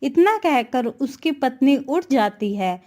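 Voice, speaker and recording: A woman's voice: sits high, lively intonation, slow, dark tone. A woman narrating a scripted moral tale for a cartoon as the storyteller.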